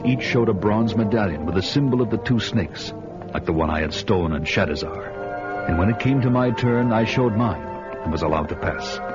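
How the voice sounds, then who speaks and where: An actor playing a vengeful barbarian warrior, narrating his quest in a grim, measured way. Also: low-pitched, lightly acted. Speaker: narrator and hero. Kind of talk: first-person radio drama narration. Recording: dull lo-fi audio with music behind.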